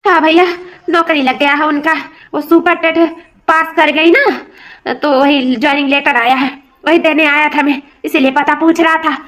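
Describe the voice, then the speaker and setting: An extremely high cartoon voice for a polite, flustered male messenger, put on by a performer. He speaks, explaining himself in a courteous, pleading manner. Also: steady tone, normal speed, a bit bright. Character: polite, flustered male letter-delivering stranger. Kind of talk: comedic cartoon dialogue.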